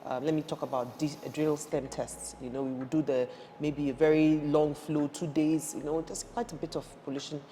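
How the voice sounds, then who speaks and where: Low-voiced woman telling her career story. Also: normal speed, few pauses. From Ghana, raised in Nigeria. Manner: animated storytelling with frequent restarts and fillers. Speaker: guest, energy industry professional. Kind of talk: conversational interview. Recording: reverberant room with faint noise.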